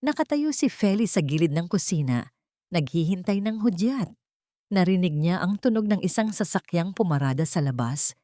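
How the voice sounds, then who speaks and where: A woman's voice: fairly low pitch, darkish tone, dry recording, studio quality. A woman reading scripted dramatic narration as the narrator of a love story.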